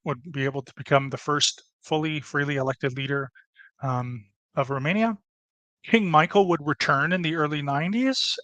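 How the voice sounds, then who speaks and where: A man's voice: fairly high pitch, fairly bright tone, no room sound. A man presenting a history topic on a casual podcast, with many fillers and restarts.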